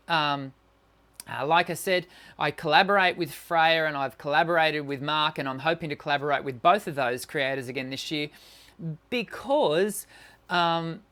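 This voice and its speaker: A man's voice: fairly high pitch, bright, forceful tone. A middle-aged man with a tenor voice, a singing teacher answering viewer questions on a live stream, encouraging, with many fillers and restarts.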